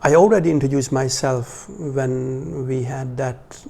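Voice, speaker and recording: Slow, creaky Hungarian man teaching. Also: mid-range voice, darkish tone, slight background noise. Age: 40s. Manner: hesitant, halting delivery with pauses. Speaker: religious teacher. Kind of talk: informal talk to a small class.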